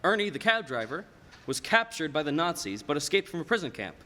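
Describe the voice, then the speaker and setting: A young man, a high school actor, playing a patient boss recounting a life story in a measured radio play style. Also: sing-song, pushed and bright. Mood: measured, storytelling. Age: young adult. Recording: hall reverb, faint noise, live stage.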